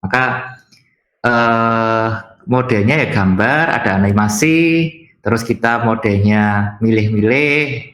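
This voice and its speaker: A man's voice: on the low side, takes it slowly. Echoey man teaching online. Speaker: workshop instructor. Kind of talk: informal online tutorial. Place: indoor room on an online video call. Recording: roomy echo, music underneath. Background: soft guitar music.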